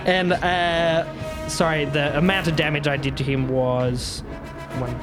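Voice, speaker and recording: A man's voice: fairly high pitch, level tone, unhurried. A man playing a tabletop game, casually talking through rules and his moves. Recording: music behind the voice. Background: background music.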